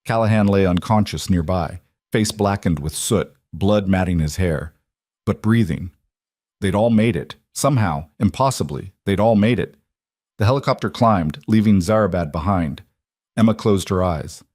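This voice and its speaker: Low-voiced man narrating a military drama. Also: normal speed, a little mellow, no echo, clean studio sound. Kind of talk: scripted story narration.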